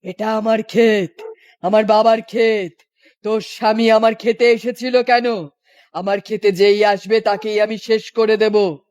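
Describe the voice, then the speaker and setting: A man's voice: high voice, fairly even intonation, a little slow, darkish tone. A man voicing a menacing, vengeful female ghost in a horror cartoon, threatening and commanding, in a muffled recording. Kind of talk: cartoon horror story acting. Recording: dull, muffled lo-fi sound.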